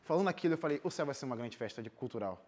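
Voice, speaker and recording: A man's voice: mid-range voice, expressive, racing, darkish tone. A young man with a Brazilian accent telling personal stories informally and animatedly as an interview guest in a TV studio. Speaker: interview guest, singer and missionary volunteer. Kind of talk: casual personal storytelling in an interview. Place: TV studio, seated.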